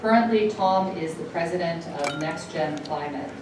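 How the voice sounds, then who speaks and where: A woman's voice: fairly low pitch, moderate pace, far from the mic. A woman whose first language is Dutch, introducing and then interviewing a guest before an audience at a university event. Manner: reads the introduction, stumbles and laughs it off. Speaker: host. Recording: echoey hall picked up from afar, noisy.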